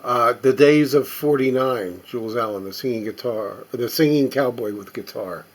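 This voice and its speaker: A mature man talks casually through items in his collection, unscripted. His mid-pitched voice is a little husky and unclean in tone. He muses aloud in a halting way, as if inspecting each thing while he speaks. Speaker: record collector presenting finds. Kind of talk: casual unscripted show-and-tell commentary.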